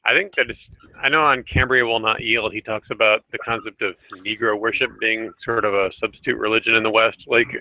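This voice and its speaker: A man's voice: lowish voice, steady tone, brisk, muffled recording. A man talking as a panelist in a casual political discussion over an online call.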